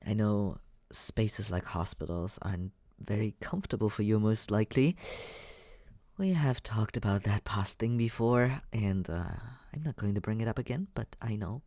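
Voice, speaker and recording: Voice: mid-range voice, moderate pace, mellow, dry recording, lightly acted. An actor voicing a teasing but caring young companion in an intimate, conversational ASMR comfort roleplay, hesitant and reassuring.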